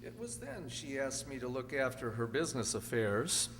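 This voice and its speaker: A man playing an earnest, anxious young husband in an amateur radio drama, halting and emphatic as he pleads. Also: medium pitch, sing-song, fairly bright tone. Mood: defensive. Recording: echoey hall, faint hum.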